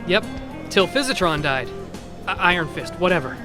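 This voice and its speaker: A man's voice: high voice, animated, normal speed, over music. A man playing a chatty, mischievous robot bartender and prankster in an audio drama, flippant and rambling. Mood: gleeful.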